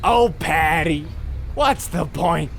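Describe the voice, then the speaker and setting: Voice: medium pitch, animated, slow, gravelly, a bit bright, full character voice. A performer playing a pompous, easily offended husband in a comedic horror radio play, melodramatic in manner. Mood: despondent.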